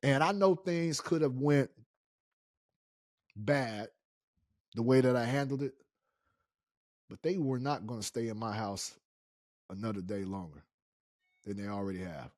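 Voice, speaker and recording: A middle-aged American man hosting a casual story time, speaking in an animated, indignant and emphatic way. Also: mid-range voice, a little slow, long pauses, no room sound, clean studio sound. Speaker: story-time show host.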